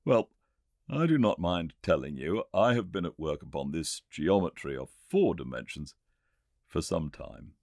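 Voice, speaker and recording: A man voicing a Victorian gentleman inventor with a British accent, lecturing eagerly and confidently in an emphatic audiobook performance. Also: low-pitched, expressive, dry recording, studio quality. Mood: confident explaining. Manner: emphatic, didactic, pauses to make points. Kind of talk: audiobook character dialogue.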